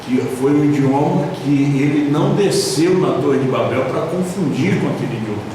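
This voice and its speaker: A Brazilian pastor with a southern Brazilian accent preaching a sermon in a church hall, with sudden loud emphasis and slowly drawn-out stresses. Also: male voice, a little high, slight background noise. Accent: southern Brazilian Portuguese. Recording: echoey church hall from far off.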